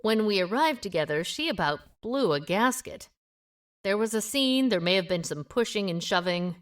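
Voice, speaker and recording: A woman's voice: mid-range voice, animated, normal speed, close to an everyday voice. Woman acting a guarded, wry amateur sleuth. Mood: reluctant, reflective. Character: guarded, independent small-town woman amateur sleuth with a past. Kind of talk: audio drama dialogue acting.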